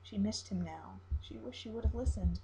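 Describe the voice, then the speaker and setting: Lady reading a story out loud, expressive amateur narrator, mid-range voice. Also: medium pitch, normal speed, gravelly, a little hiss or hum, rough recording.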